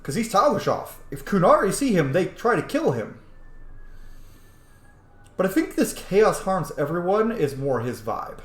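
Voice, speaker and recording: Animated man chatting through a game, roomy sound. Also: high-pitched, measured pace. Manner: chatty, opinionated, reacting aloud. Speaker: video game streamer and roleplayer. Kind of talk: casual game commentary, reading game text aloud. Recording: roomy sound with faint background noise.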